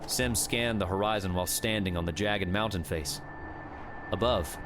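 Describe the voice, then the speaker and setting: A man's voice: fairly low pitch, noisy background. A man reading a scripted adventure audiobook as a dramatic narrator.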